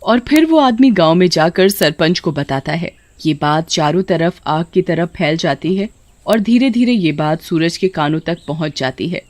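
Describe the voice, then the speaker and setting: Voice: medium pitch, animated, moderate pace, darkish tone. A narrator reading a scripted children's story in a dramatic storytelling manner.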